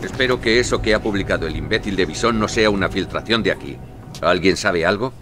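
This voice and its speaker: An actor playing a gruff, commanding police commander in a Spanish radio crime drama, with a Castilian accent. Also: male voice, sits low, a little fast, noisy background, natural voice. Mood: stern, tense.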